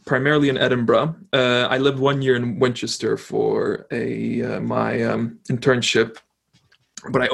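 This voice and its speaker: A young man from Poland chats casually as a guest, often stopping and restarting his sentences. His voice has a gravelly, creaky edge. He sounds relaxed and thoughtful. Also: mid-range voice. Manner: hesitant, frequent restarts. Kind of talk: casual conversation.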